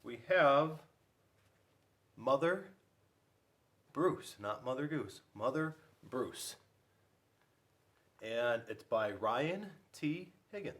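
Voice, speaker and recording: A man's voice: mid-range voice, animated, drawn-out words, pauses often, fairly bright tone. A man reading a picture book aloud to children as a school officer, playful and speaking directly to his listeners. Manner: playful, addressing young listeners with questions. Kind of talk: animated children's read-aloud.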